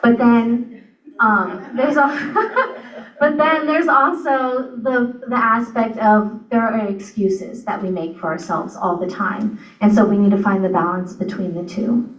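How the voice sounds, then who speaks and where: A woman's voice: a little high, unhurried. A woman from Virginia moderating a panel, talking in a chatty, personal way. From Virginia, USA. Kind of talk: panel discussion. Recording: dull echoey room mic from a distance.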